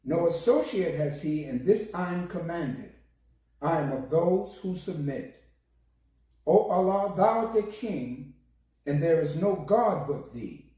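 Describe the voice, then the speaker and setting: A hoarse man, a minister, giving a slow and solemn sermon with a recited prayer. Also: fairly high pitch, lively intonation, mellow. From United States. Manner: slow, measured, solemn delivery. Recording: very echoey, distant from the mic.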